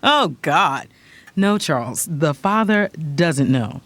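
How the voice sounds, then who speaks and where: A woman's voice: sits low, animated, slow, a bit bright, full character voice. An actress playing a flustered pregnant woman lawyer who deflects, in a confiding, dramatic audio drama style. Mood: guarded, pleading. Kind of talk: first-person audio drama narration and dialogue.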